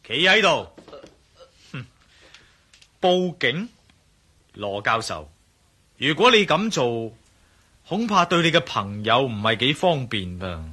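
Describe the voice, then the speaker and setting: A commanding male gunman hero in a radio drama, sharp and lively in pitch. He is sardonic and menacing as he demands answers in a Hong Kong accent. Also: slow pace, pauses often, breathy edge, exaggerated voice. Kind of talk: Cantonese radio drama acting.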